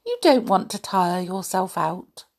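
A woman voicing a diminutive male king who speaks soothingly and coaxingly to his wife, with a slightly breathy voice. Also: fairly low pitch, very animated, measured pace, full character voice. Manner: sweet, coaxing.

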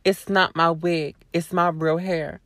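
A woman YouTuber ranting casually to her viewers, sassy and blunt, with a hoarse voice and a lot of cursing. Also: lowish voice, flat delivery, a little slow, fairly bright tone, no room sound. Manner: sassy, blunt, swears freely, talks straight to viewers. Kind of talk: casual vlog rant to camera.

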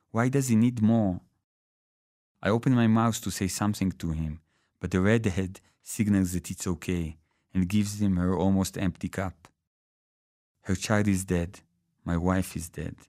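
A man reads his own short fiction in the first person. His voice is fairly low and very mellow, and the recording is dry, with no room around it. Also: no echo. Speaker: author reading his own story. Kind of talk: literary first-person story reading.

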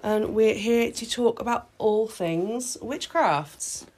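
A woman in her late thirties from Britain, hoarse with a cold, chatting casually as a podcast co-host and often restarting her sentences. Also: a little high, slow, a little mellow. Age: late 30s. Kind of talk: casual chat. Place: sitting on the floor in a home play fort.

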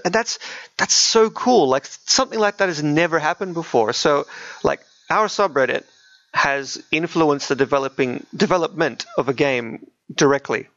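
Man, fairly high voice, podcast host, conversational and keen, close dry room, slightly muffled audio. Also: fairly bright tone, no room sound. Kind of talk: casual podcast chat about video games.

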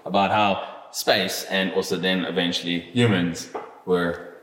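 A man's voice: sits low, fairly even intonation, measured pace, fairly bright tone, reverberant. A man telling a travel story in casual podcast chat, rambling with fillers and restarts and swearing casually.

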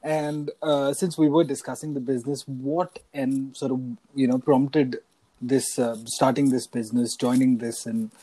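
A man's voice: medium pitch, level tone, a little slow, dark tone, no room sound, low-quality recording. Hesitant male interviewer, dry sound. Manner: hesitant, many restarts and fillers, affirming. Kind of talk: interview questions.

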